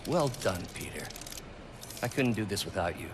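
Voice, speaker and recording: An actor playing a kindly, concerned older male scientist in a video game, with a gentle, measured, fatherly manner. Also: low voice, very animated, noisy background. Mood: warm, appreciative. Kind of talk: naturalistic video game acting.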